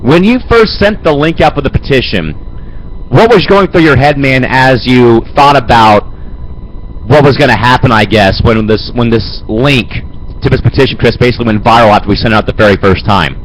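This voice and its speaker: A young man with a head cold and a raspy voice, hosting an amateur sports show and rambling with lots of fillers. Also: mid-range voice, normal speed, a little hiss or hum, poor audio. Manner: rambling, fills with "man" and "Chris". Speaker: host. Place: home studio.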